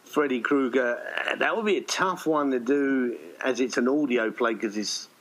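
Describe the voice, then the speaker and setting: A man's voice: mid-range voice, moderate pace, a bit bright, poor audio. A man speaking conversationally as an interviewed theatre writer and director, explaining things in a rambling way and often restating himself.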